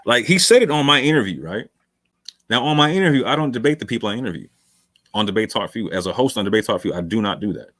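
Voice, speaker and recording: A man's voice: on the low side, animated, quick pace, fairly bright tone, no echo, clean studio sound. A male talk show host giving long, emphatic commentary late in a livestream. He repeats phrases for stress, calls listeners fam, and sounds worn from hours on air.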